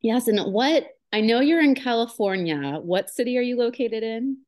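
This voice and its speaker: A woman's voice: a little high, lively intonation, moderate pace, darkish tone. A woman hosting a podcast interview, upbeat and enthusiastic as she introduces and questions her guest. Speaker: podcast host.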